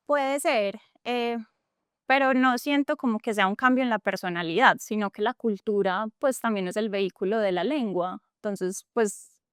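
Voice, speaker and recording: A woman's voice: medium pitch, moderate pace, fairly bright tone, clean tone, no echo, clean studio sound. Reflective Colombian woman with a coffee-region accent. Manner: earnest and reflective, frequent fillers and restarts. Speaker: guest, tech entrepreneur. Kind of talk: reflective conversational interview.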